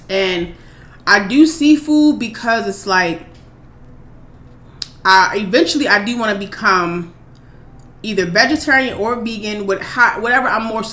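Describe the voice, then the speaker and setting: A woman in her thirties from Maryland, chatting casually and rambling through viewer questions on her eating show. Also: medium pitch. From Maryland, USA. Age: 30s. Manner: rambling, chatty, relaxed, with many fillers. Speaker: mukbang vlogger. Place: home. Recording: echoey room, faint background noise.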